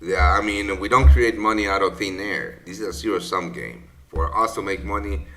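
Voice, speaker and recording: Bright-voiced man. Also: sits low, normal speed, soft background music. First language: Spanish. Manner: rambling, many fillers and self-corrections. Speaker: trading session host. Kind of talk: casual live-stream market commentary.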